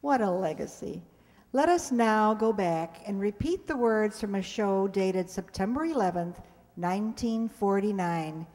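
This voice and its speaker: A woman's voice: mid-range voice, expressive, a little slow, darkish tone. An older woman introducing a show to an audience from a stage, chatty and asking questions. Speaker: presenter introducing a community radio-play show. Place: stage in a community hall. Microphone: microphone on a stand, close. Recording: echoing hall sound.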